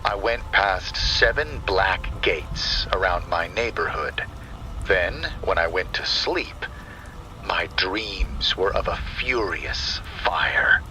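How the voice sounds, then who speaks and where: A man narrating a scripted first-person horror story in a deliberate, dramatic manner. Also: low voice, bright, forceful tone, faint music behind, low-quality recording. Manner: slow, deliberate, dramatic delivery. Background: rain and thunder sound effects.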